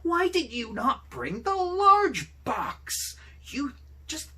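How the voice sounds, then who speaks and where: A man voicing a cross, greedy old woman from a fairy tale, scolding loudly in drawn-out words. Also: very high-pitched, huge pitch swings, pitched above the performer's own voice, exaggerated voice. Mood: furious, scolding.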